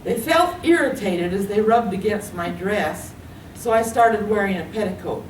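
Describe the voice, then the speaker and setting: A woman reading her own memoir aloud at a library event, telling it with lively storytelling. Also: mid-range voice, normal speed, slight background noise. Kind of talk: literary reading. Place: library meeting room. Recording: echoey room, distant mic.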